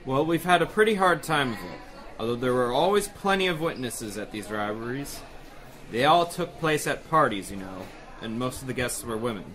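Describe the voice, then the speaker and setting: A man's voice: mid-range voice, lively intonation, normal speed, fairly bright tone, lightly acted. An actor playing a self-assured male police commissioner, in 1930s-style radio drama acting. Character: confident male police commissioner, brisk and sure.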